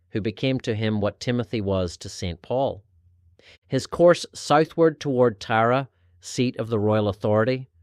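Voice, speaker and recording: A man narrating a scripted historical religious article in a formal, measured, oratorical way. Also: mid-range voice, a little mellow, dry recording. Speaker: narrator reading an article aloud.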